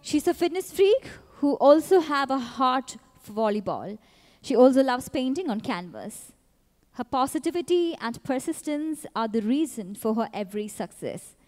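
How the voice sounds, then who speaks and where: A woman announcer reading scripted introductions of pageant contestants in a measured, even way. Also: high-pitched, soft background music. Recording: long hall reverb.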